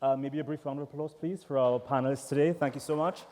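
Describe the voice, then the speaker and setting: A man from Trinidad and Tobago with a Trinidadian accent, chatty and relaxed, moderating a conference panel at a desk microphone. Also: medium pitch, fast talker. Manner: relaxed, chatty, with many fillers. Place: large conference hall. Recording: echoey hall reverb.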